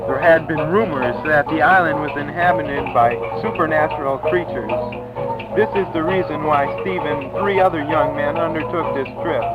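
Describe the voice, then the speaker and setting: Guy w/ highish voice reading a homemade spooky story script, music really loud. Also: moderate pace, poor audio. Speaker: narrator and reader of all parts. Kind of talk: scripted narration of homemade horror tale.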